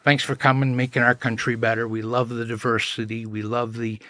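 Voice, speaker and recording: A man's voice: mid-range voice, little pitch movement, brisk, pushed and bright, no room sound. A man, a podcast guest telling anecdotes in an earnest, self-deprecating way. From Canada. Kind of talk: casual chat, storytelling.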